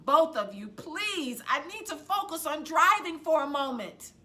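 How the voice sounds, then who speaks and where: A woman's voice: high-pitched, expressive, unhurried, pushed and bright, voice pushed up, heavily acted. A woman voicing a tense, tired mother of two girls in a storybook reading, with clipped, strained delivery. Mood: tense, exasperated.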